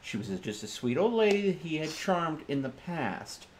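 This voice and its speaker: A man chats as a player in a tabletop roleplaying game. His delivery is animated and casual, thinking aloud with wide pitch swings, and there is faint background noise. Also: normal speed. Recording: noisy game stream.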